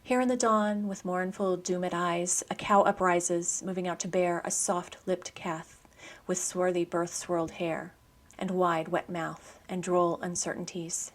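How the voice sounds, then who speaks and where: Low-pitched woman reading literary radio script. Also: flat delivery, unhurried. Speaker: poetry program host. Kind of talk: scripted narration and poetry reading.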